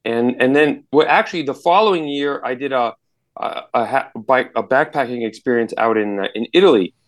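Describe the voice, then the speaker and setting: Halting, easygoing entrepreneur guest man. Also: medium pitch. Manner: halting, many restarts and fillers. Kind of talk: casual interview answers.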